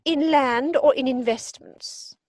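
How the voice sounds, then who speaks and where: A woman's voice: sits high, takes it slowly, a little mellow, no room sound. A woman playing an imperious, snobbish older upper-class lady in a theatrical comedy reading, pompous and disapproving. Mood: haughty, interrogating. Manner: pompous, disapproving, crisply emphatic. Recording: dry home video-call recording.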